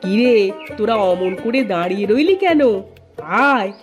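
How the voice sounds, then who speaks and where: A spiteful cartoon aunt , acted by a woman, speaks in a high, theatrical voice. Her pitch swings widely and her words are pointed. Light music plays underneath. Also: expressive, normal speed, close to an everyday voice. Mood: sugary. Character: spiteful, scheming neighbourhood aunt, sugary when hosting. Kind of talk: Bengali cartoon story dialogue.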